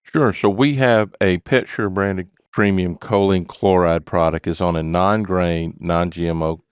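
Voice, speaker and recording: A man giving a steady, scripted product pitch. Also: unhurried, clear, resonant tone, dry recording, clean studio sound.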